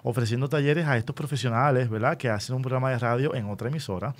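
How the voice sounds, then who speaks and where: A man's voice: medium pitch, fast talker. A man conducting a conversational radio interview, speaking in an encouraging, explanatory manner.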